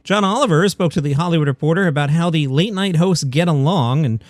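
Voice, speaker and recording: A man's voice: fairly high pitch, fairly quick, no echo. A man hosting a comedy news podcast, reading stories and adding wry, opinionated asides. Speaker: podcast host. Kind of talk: comedy news commentary, partly scripted.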